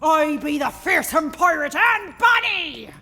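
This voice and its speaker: A crazed, menacing pirate prisoner in a period audio drama. Her voice is very high, sharp and wildly animated, boasting and threatening in an echoing space. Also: slow pace, heavily acted. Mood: defiant, menacing. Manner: menacing, ranting, theatrical pirate talk. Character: deranged, boastful woman prisoner claiming to be a fearsome pirate. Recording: echoey prison interior.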